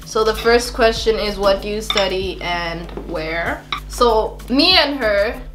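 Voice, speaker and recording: A woman's voice: mid-range voice, slow, echoey room, noisy background. A young Dutch woman hosting a casual chat while eating, giggly and chatty. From Netherlands. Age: young adult. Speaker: vlogger host.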